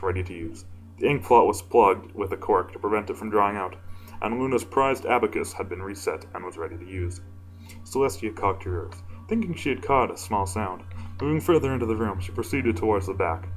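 A man with a deep voice reading fan fiction casually, informal and self-deprecating, stumbling now and then. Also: low-quality recording. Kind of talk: casual amateur story reading with asides.

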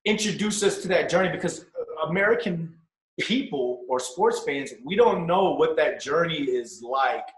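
A man's voice: on the high side, animated, normal speed, reverberant, low-quality recording. A young man hosting a casual interview over a video call, upbeat and chatty, on a desk mic in his home room. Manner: upbeat, chatty, frequent "you know" and "wow". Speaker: podcast host. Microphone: podcast mic on stand, headphones.

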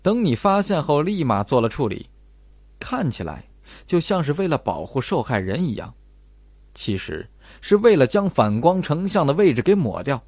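A man's voice: on the high side, very animated, moderate pace, a little mellow, dry recording. A man voicing a cold, methodical investigator in an audiobook, laying out an accusation. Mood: accusing. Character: cold, probing male investigator laying out evidence. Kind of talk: audiobook character acting.

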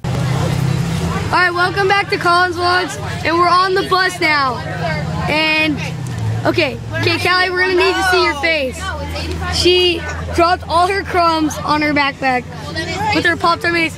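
A man's voice: very high voice, steady tone, unhurried, pauses often. A young American teenage boy vlogging casually on a phone, chatty and full of slang. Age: young teenager, middle schooler. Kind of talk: casual handheld vlog chat. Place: crowded moving school bus. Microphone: handheld phone at arm's length. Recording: noisy phone recording, loud bus chatter.

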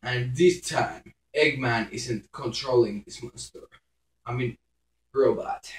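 A young man giving halting, improvised gameplay commentary as a YouTuber playing a video game. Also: mid-range voice. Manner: halting, profane. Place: indoor room, recording a screen. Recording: echoey room, loud game music.

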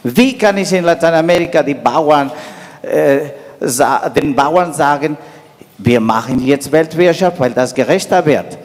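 A middle-aged man from Peru with a Peruvian Spanish accent, making a deliberate, halting comment from the audience. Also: a little high. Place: large wood-panelled conference hall. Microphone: handheld microphone. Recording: long hall reverb.

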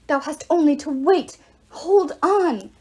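A woman's voice: high voice, expressive, takes it slowly, darkish tone, voice pushed up. A woman voicing a magical talking arrow in an audiobook, urgent and bombastic, with archaic, declamatory Shakespearean diction. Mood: solemn, emphatic.

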